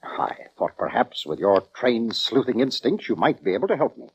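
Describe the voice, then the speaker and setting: An actor playing a pompous, self-important orchestra conductor in 1940s radio drama style, formal, theatrical and easily affronted. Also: male voice, mid-range voice, very animated, normal speed, breathy edge. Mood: troubled, indignant. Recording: dull vintage radio recording.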